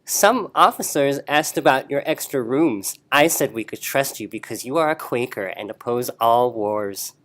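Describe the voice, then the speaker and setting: A woman's voice: a bass voice, expressive, moderate pace, hardly any pauses, a bit bright. A woman playing commanding male army officers in an amateur comic read-aloud. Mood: confiding, official.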